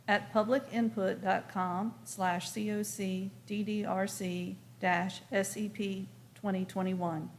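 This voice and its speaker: A woman chairing a public commission meeting, switching between formal procedure and plain conversational speech. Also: mid-range voice. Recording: clear background noise, room ambience.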